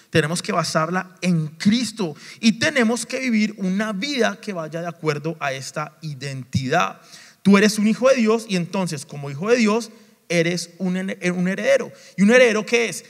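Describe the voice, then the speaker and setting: A male pastor preaching a sermon, emphatic, repeating refrains and asking the congregation questions. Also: sits high. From Latin America. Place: church sanctuary. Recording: long church reverb.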